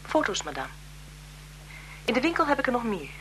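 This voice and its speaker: An actress playing a frail-seeming, calculating blackmailer in a radio drama, deferential and insinuating in manner. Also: female voice, on the high side, big pitch swings, a little fast, fairly bright tone, poor audio. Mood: sly, insinuating. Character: frail-seeming, calculating female blackmailer, photographer's bereaved sister. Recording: 1970s radio recording with noise.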